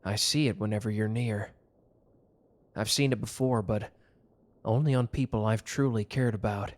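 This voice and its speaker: A man's voice: fairly low pitch, steady tone, moderate pace, natural voice. A man playing a protective, devoted mafia lover with a hot temper, speaking earnestly in an intimate romantic audio drama. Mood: tender, reflective.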